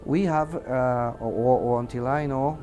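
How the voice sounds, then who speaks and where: A man with a Mexican accent, a native Spanish speaker, giving testimony in an interview and haltingly searching for words in his second language. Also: mid-range voice, dark tone, faint music behind. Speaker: air traffic controller giving testimony. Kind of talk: interview testimony, unscripted recounting.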